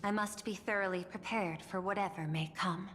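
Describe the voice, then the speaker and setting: A woman playing a coolly polite, calculating android executive in a video game, speaking in a measured, formal, deadpan way. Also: mid-range voice, close to an everyday voice. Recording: long reverb, music behind.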